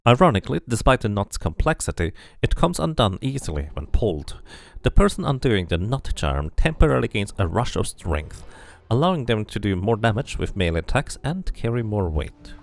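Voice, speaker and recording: A man with a low, gravelly voice gives casual commentary on a game and reads its text aloud. His pitch moves around a lot. The sound is close and dry. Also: big pitch swings, fairly quick, dry recording. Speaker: game streamer.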